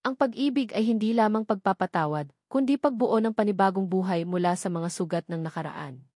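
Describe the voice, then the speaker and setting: A woman with a Filipino accent narrates a sentimental romance story from a script in an earnest, measured way. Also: medium pitch, mellow, dry recording, clean studio sound.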